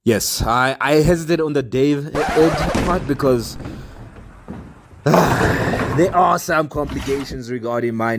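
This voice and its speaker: A young man from Zambia telling his own life story in a casual, earnest and open way, with animated emphasis. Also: mid-range voice, noisy background.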